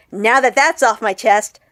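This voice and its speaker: An actress playing a lonely, troubled young woman who confides in her vlog, with a husky, emotional, halting delivery in an audio drama. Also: on the high side, pushed and bright, dry recording, exaggerated voice. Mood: confiding, wistful. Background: music.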